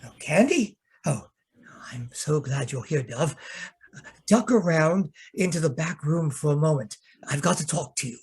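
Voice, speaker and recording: A man's voice: fairly high pitch, lively intonation, a little slow, darkish tone, husky, exaggerated voice. A man playing a kindly, gushing, fussy Santa's helper in a radio drama. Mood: fussy, anxious. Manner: effusive, fussy. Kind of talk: old-time radio drama acting.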